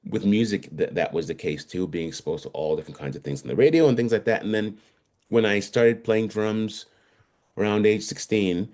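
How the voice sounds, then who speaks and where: Deep-voiced man in his fifties from Trinidad. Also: a little mellow. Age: 50s. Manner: halting, many restarts and fillers. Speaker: guest musician. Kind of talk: casual interview chat. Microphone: video call.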